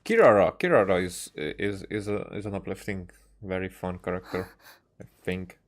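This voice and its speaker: A man with a fairly low voice streams a game. He comments casually and haltingly, doubling back on his words as he thinks out loud. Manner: halting, repeats and restarts phrases. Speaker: livestreamer playing a game.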